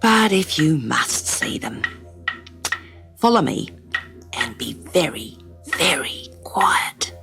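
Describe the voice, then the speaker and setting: A woman voicing a gentle, protective mother kangaroo who speaks kindly and calmly. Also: low voice, slow, gravelly, pauses often, over music, full character voice. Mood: hushed. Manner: kindly, calm, hushed when afraid. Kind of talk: storybook character voice.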